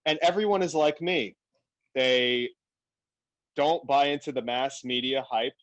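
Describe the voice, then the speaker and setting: A man from Afghanistan talking bluntly and animatedly in a casual podcast conversation, quick to laugh. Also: mid-range voice, no room sound. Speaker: podcast guest.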